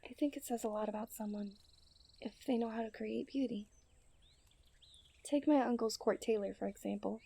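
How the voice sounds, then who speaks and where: Woman acting a mellow, genteel fairytale princess. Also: a little high, normal speed, pauses often. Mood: tender, soft. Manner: formal, genteel fairytale phrasing with breathy sighs. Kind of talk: scripted romantic ASMR roleplay.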